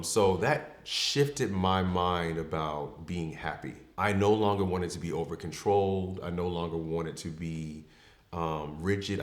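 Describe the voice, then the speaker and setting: A man in his thirties with a deep voice, telling his personal story as a podcast guest in an open, reflective way. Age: 30s. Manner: reflective and open, with frequent fillers. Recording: echoey room.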